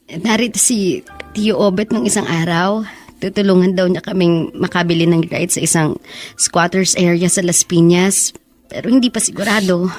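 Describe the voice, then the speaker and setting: A woman's voice: on the low side, fairly even intonation, moderate pace, a little mellow, faint music behind, lightly acted. A woman playing a sorrowful, wounded young woman, plaintive and earnest, in a Filipino radio drama. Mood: subdued.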